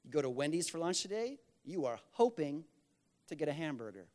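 Male pastor, high voice, emphatic and repetitive sermon delivery. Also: very animated, a little fast. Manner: emphatic, repeats key lines for stress; swings between loud bursts and quiet asides.

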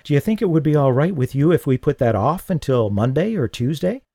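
A male narrator voicing a kind young woman who sounds gentle and a little hesitant. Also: low voice, animated, fairly quick, breathy edge, dry recording, voice pushed up, heavily acted. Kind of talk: audiobook reading.

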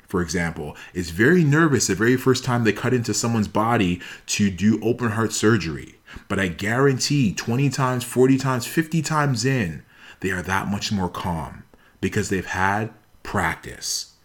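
Low-voiced man giving an emphatic motivational talk. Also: expressive, normal speed. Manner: emphatic, repeats key phrases for emphasis. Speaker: motivational speaker.

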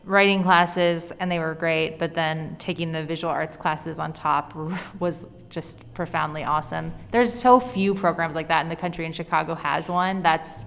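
A woman talks casually as an interview guest, her voice on the low side. The recording has long reverb and noticeable noise, and she leans on informal, enthusiastic phrasing. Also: fairly even intonation, normal speed, hardly any pauses, fairly bright tone. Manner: informal, with frequent "like" and enthusiastic emphasis. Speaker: interview guest, author and cartoonist. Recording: reverberant room with clear background noise.

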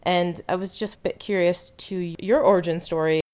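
A woman hosting an interview speaks in a low, smooth, resonant voice. She affirms what her guest says, then asks curious follow-up questions. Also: fairly even intonation, normal speed, darkish tone.

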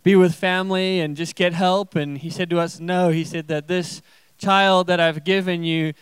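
A man's voice: sits high, steady tone, moderate pace, clean tone, no room sound, low-quality recording. A young man from Zimbabwe, a missionary guest speaker, giving an informal testimony to a church congregation.

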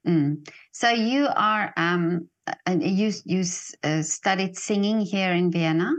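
Friendly woman interviewer. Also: on the low side. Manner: friendly, halting questions with fillers. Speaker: interviewer, host. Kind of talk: interview questions. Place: Vienna.

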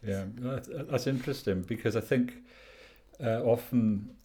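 A man's voice: medium pitch, a little slow, dark tone. A Welsh man interviewing a guest, speaking in a hesitant way with frequent fillers and restarts. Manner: hesitant, many uhs and restarts. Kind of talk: conversational interview.

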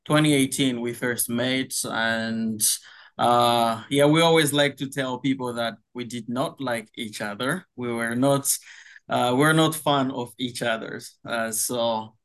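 A young man from Congo speaks in an unhurried, drawn-out way, with frequent "uh"s as he gathers his thoughts. His voice sits at an ordinary middle pitch, and he laughs easily between his sentences. It sounds like a relaxed video-call chat. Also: clean tone. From Democratic Republic of Congo. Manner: slow, hesitant with many fillers, laughs easily. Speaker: pastor, guest. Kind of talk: casual interview chat.

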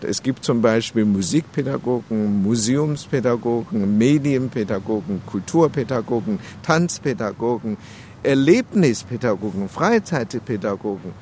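A man's voice: medium pitch, lively intonation, moderate pace, a little mellow, slight background noise. A man from China giving an emphatic, reflective interview and punctuating his points with rhetorical questions. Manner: emphatic, rhetorical, with repeated tag "ja?".

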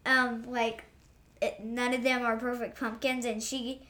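A female podcast co-host chatting casually, describing things in a hesitant, halting way. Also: fairly high pitch, level tone, sharp tone. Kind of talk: casual chat.

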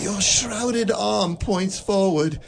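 A man's voice: sits high, vocal fry, noisy background, heavily acted. A man playing a frightened old miser. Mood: fearful. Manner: anxious, pleading, halting. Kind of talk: 1940s-style radio drama acting.

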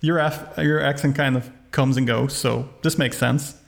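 Wry man with a creaky voice. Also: medium pitch, steady tone, normal speed. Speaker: movie podcast co-host. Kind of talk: casual movie discussion.

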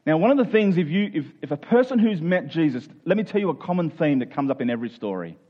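Higher-voiced male preacher, slightly dull recording. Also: very animated, fast talker. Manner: explanatory, repeats key phrases, checks in with listeners. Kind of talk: expository Bible teaching sermon, conversational.

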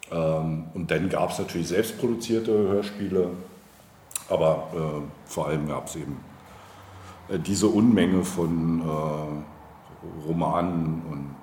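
Very deep-voiced man, thoughtful, roomy sound. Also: darkish tone. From Brandenburg, East Germany. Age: 40s. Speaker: interview guest, local politician. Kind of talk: conversational interview answers. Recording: roomy echo, faint background noise.

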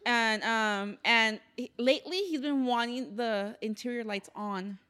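An American woman with a fairly high voice chats as a podcast co-host. She explains herself through everyday anecdotes, acting out bits of dialogue in a conversational way. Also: unhurried, a loud crowd. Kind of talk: casual anecdotal chat.

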